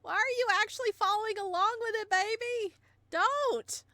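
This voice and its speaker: A woman's voice: extremely high pitch, moderate pace, no echo, clean studio sound. A woman reading a visual novel game aloud, with dramatic, theatrical narration and playful reactions. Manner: expressive, theatrical.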